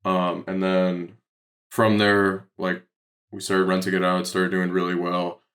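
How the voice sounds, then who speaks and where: A man's voice: sits low, monotone, measured pace, a bit bright. An American man speaking as a podcast guest, explaining things casually in a loose, rambling way full of filler words. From Detroit, Michigan, United States. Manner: rambling, heavy use of "like". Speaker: podcast guest, real estate investor.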